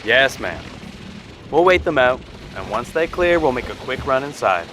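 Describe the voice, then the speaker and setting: A man's voice: medium pitch, very animated, moderate pace. A man playing a courteous, folksy, plain-spoken military helicopter pilot in an audio drama. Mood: calm, reassuring. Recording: loud engine and battlefield noise effects.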